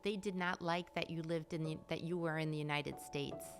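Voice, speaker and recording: A woman calmly and fluently recounting her family's traditions in an interview. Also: deep voice, normal speed. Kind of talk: recollection in an interview.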